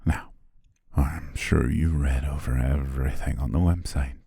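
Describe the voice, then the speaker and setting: A man playing a courteous, devious hypnotist in an ASMR roleplay, speaking in a soothing, breathy whisper with genteel, deliberate pauses. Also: fairly even intonation, mellow, no room sound, clean studio sound, exaggerated voice. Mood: smooth, reassuring. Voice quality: whispery, breathy, very low and gravelly. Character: devious, courteous male hypnotist welcoming a client.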